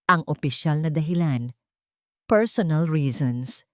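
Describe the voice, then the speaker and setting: A woman's voice: deep voice, a little slow, darkish tone, dry recording, clean studio sound. A woman with a Filipino accent reading a dramatic scripted story as a narrator.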